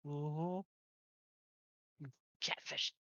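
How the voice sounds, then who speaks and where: A woman's voice: low-pitched, steady tone, very slow, bright, forceful tone, no room sound. A woman chatting casually on a stream, giggling often and restarting her sentences, on a dull lo-fi mic. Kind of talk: casual chat.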